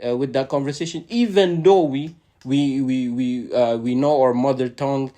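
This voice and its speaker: A man's voice: mid-range voice, steady tone, normal speed, mellow. A man hosting a podcast who grew up speaking a language other than English or French. He talks in an earnest, casual way, repeats words often and keeps asking "right?". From immigrant to Canada. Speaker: podcast host.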